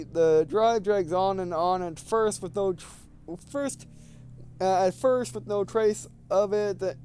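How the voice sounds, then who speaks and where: A man with a high voice reads a visual novel aloud as a let's player. He slips between narration and casual commentary, often stumbling over words. A faint hum sits behind his voice. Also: dark tone. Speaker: video game let's player.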